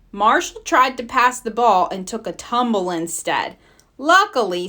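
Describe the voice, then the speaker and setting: Female children's read-aloud narrator, higher pitched, very animated, scripted storybook style. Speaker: storybook reader.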